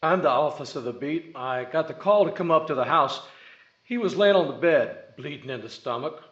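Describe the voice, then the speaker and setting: A man's voice: fairly high pitch, expressive, brisk, a bit bright. Plain-spoken beat cop, roomy sound, acted by a man. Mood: matter-of-fact. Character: plain-spoken male beat policeman testifying. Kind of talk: 1950s radio drama acting. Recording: roomy, dull band-limited sound.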